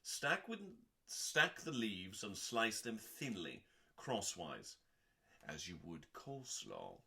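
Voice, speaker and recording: A man hosting a dramatic cookbook reading, delivering recipes in a measured, theatrical way with deliberate pauses and deadpan asides. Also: lowish voice. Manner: theatrical, measured, with pauses between short phrases.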